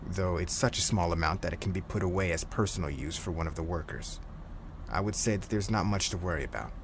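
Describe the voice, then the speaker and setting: A man acting as a calm, loyal crime boss's henchman in an audio roleplay, serious and matter-of-fact. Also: low-pitched, a little hiss or hum.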